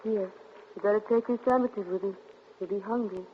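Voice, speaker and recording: An actress playing a worried, protective wife in 1940s radio suspense, earnest and emphatic. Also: female voice, medium pitch, fairly even intonation, brisk, lightly acted. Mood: knowing, subdued. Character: worried, protective wife hiding what she knows. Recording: scratchy, muffled 1940s radio recording.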